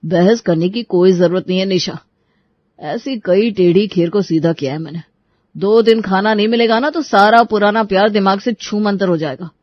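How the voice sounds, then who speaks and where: A man's voice: sits high, normal speed, dry recording, muffled recording, close to an everyday voice. A man playing an angry, suspicious young husband who scolds and threatens in a Hindi moral-story drama. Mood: stern, threatening.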